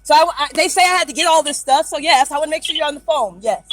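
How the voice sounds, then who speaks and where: A woman's voice: high-pitched, fast, fairly bright tone, no echo. Anxious woman stammering from her car. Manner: anxious, rambling, stammering and deferential. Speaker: driver recording a police stop. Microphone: phone recording.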